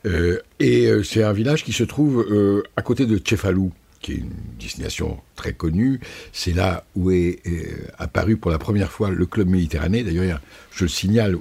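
Deep gravelly older Parisian man. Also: mellow. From France. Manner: measured, storytelling, erudite. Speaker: guest. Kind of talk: conversational interview.

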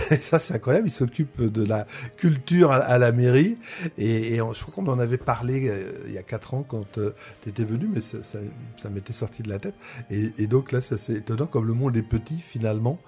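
A man's voice: mid-range voice, fairly quick, mellow, soft background music. A man hosting an interview, chatty and friendly, with many fillers and hesitations. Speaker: host. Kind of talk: radio interview chat.

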